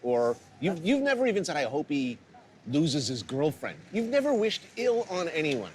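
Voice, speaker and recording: A middle-aged man hosting a travel show, chatting with deadpan, profane humor and also narrating voice-over. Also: a little high, normal speed, a little hiss or hum. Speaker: host. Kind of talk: casual chat and scripted voice-over narration. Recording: music playing behind.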